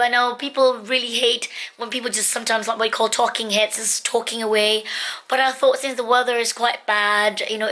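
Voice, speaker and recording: A woman's voice: on the high side, pushed and bright. A middle-aged woman from Singapore vlogging casually from her bedroom, rambling and chatty with many fillers and restarts. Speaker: lifestyle vlogger. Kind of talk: casual vlog talk to camera. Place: bedroom indoors.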